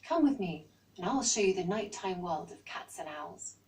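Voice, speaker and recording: A woman's voice: lowish voice, lively intonation, moderate pace, darkish tone, far from the mic, close to an everyday voice, rough recording. A woman with an English accent voicing a suave, inviting big cat in a storybook.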